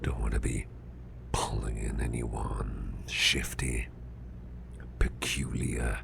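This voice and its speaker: A man playing a gruff, flustered boss in an ASMR roleplay, muttering haltingly in a growly, breathy half-whisper and repeating himself. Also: rumbling low, little pitch movement, a bit bright, slight background noise, full character voice. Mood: musing, wary. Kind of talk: ASMR roleplay, spoken softly to the listener.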